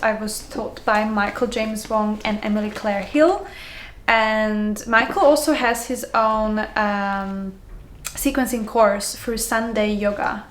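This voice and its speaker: A woman's voice: fairly high pitch, echoey room. A young woman from Slovakia with a mixed Slovak-Hungarian accent, chatting to camera in a casual, rambling way, full of ums, restarts and easy laughs. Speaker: yoga teacher vlogger.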